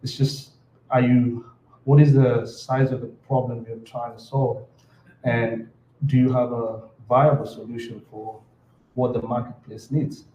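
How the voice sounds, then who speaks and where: A man's voice: mid-range voice, flat delivery, a little slow, mellow, a little hiss or hum. A man from Kenya with a Kenyan accent, answering earnestly as a panelist and often repeating words as he builds a sentence. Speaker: panelist entrepreneur. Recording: roomy, slightly dull hall sound.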